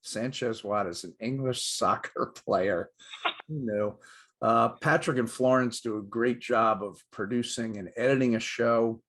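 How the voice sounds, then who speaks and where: A man's voice: mid-range voice, unhurried, fairly bright tone. A middle-aged man co-hosting a sports show with light-hearted banter and dry, self-deprecating jokes.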